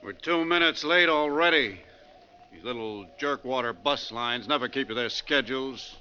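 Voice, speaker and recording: An actor playing a blustery, complaining, talkative American bus passenger in a 1940s radio drama. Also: male voice, medium pitch, moderate pace, sharp tone. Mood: grumbling. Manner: blustery, complaining, long-winded. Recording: dull, faintly noisy vintage radio recording.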